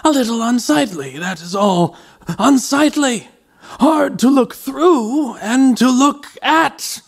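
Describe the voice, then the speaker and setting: An actor playing a frail, lonely, gentle old gentleman in a radio horror play, courtly and hesitant, with a creaky, husky voice. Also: very high-pitched, expressive, slow, heavily acted. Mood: self-conscious.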